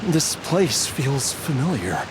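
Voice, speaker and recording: Slow, troubled young man. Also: medium pitch, big pitch swings, noisy background. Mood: uneasy, searching. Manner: hesitant, strained, sighing. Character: troubled young man, earnest, struggling to remember, determined. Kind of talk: video game voice acting. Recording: game dialogue over clear music.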